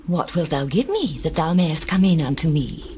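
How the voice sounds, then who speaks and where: A woman playing a bold, shrewd widow in a scripture drama, with expressive, measured delivery. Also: low voice, slight background noise. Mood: bargaining. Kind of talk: dramatized scripture reading, archaic English.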